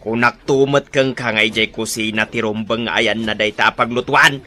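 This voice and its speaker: A man's voice: lowish voice, steady tone, normal speed, pushed and bright, faint music behind. A man playing a grumpy, lazy old husband in an Ilocano radio comedy drama, with an Ilocano accent. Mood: grumbling.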